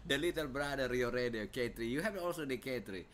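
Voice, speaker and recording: A man's voice: mid-range voice, expressive, a little fast. A man with an Indonesian accent hosting a casual amateur radio contact in a jovial, repetitive way. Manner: jovial, laughs often, repeats phrases. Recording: bright audio with faint radio noise.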